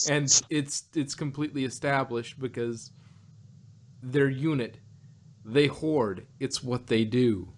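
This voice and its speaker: A man's voice: medium pitch, slow pace, pauses often. A man co-hosting an audio drama review podcast, talking in a rambling way with many restarts and fillers. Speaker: podcast co-host. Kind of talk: casual chat.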